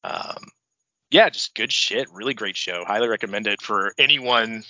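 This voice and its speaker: A laid-back man co-hosts a casual podcast, sounding congested from the flu and swearing freely. His voice is bright and forceful. It sounds dry and a little lo-fi. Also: lowish voice, moderate pace, no room sound. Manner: laid-back, joking, swears a lot, laughs often. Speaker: podcast co-host.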